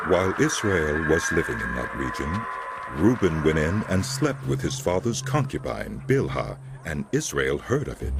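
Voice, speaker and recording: A man narrating a scripted dramatic Bible reading in a solemn, measured storytelling manner. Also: sits low. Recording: loud music under the voice. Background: music.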